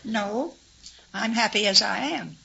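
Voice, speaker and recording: A woman's voice: mid-range voice, fairly even intonation, a little slow, vocal fry, sharp tone, breathy edge. A woman in her eighties giving short, direct answers as a contestant on a 1950s radio quiz. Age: 80s. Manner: direct, brief. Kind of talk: brief interview answers. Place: radio studio with audience. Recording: noisy dull 1950s radio recording.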